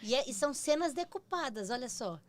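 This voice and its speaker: 60s woman co-host, kinda high voice, chatty, keeps saying how cool stuff is. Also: expressive, fairly quick. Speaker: podcast co-host. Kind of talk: casual chat.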